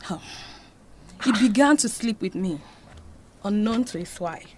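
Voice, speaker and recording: A woman's voice: mid-range voice, lively intonation, a little slow, a little mellow. A woman playing an anguished, devout Nigerian mother confessing a painful past in an audio drama. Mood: sorrowful confession.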